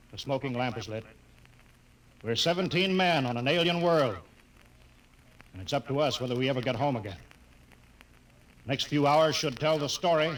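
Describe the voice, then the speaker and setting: An actor playing a stern naval spaceship captain in a 1950s radio drama, clipped and authoritative. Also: male voice, a little high, close to an everyday voice. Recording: noisy 1950s radio recording.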